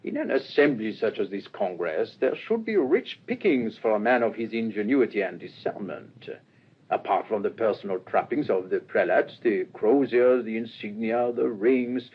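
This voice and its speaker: A man acting in a radio drama as a courteous, astute middle-aged police inspector, speaking in a measured, formal, ruminative way. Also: medium pitch, slightly rough. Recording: muffled, lo-fi radio recording, faint noise.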